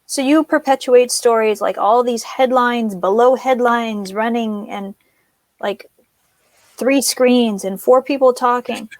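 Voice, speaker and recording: A chatty woman with a gravelly, fry-laden voice talks in a lively, casual way. The sound is dry and close, with no room echo. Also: on the high side, slow. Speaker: co-host. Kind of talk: casual conversation. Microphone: video call.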